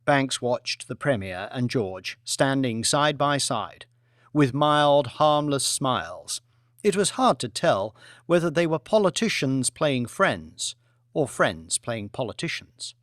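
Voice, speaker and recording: A man narrating a scripted novel as an audiobook in a deliberate, theatrical manner. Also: medium pitch, big pitch swings, a bit bright, no echo, clean studio sound. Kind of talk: scripted dramatic fiction narration.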